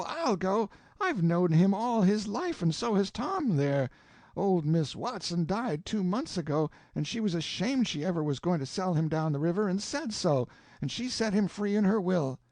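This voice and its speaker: Rich: An eager, bragging country boy, played by a male reader with a lively, bouncing delivery a little above his usual voice. The sound is dry and close, with a mellow, darkish tone. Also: high-pitched, moderate pace, no room sound, voice pushed up. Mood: defiant. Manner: eager, boastful. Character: excitable boastful young country boy.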